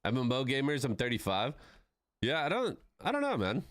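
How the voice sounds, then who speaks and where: A man's voice: medium pitch, wildly swooping pitch, brisk, a bit bright, no echo, studio quality. A man in his thirties chatting casually with his live-stream viewers, laid-back and swearing freely. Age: 30s.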